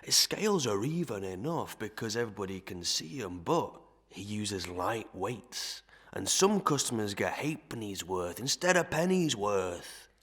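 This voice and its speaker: A man's voice: lowish voice, big pitch swings, a little slow, few pauses, a bit bright, husky, heavily acted. A man playing a sententious rustic suitor in an audio melodrama, wry and mock-solemn, fond of sounding wise. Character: sententious rustic man, proverb-spouting, slyly courting.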